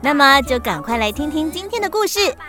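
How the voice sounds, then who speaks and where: A woman presents a children's story show in a high voice. She talks directly to young listeners as a storytelling host, with music playing behind her. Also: very animated, fairly quick, bright, forceful tone.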